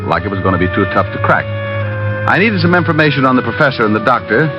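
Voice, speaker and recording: A vintage radio recording, dull and backed by music, features a man as a wisecracking American private eye. His fairly low voice moves with very animated inflection through dry, cocky teasing. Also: fast, lightly acted. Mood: wry. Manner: dry, sarcastic, smooth teasing. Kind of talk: 1940s-50s radio acting and noir narration.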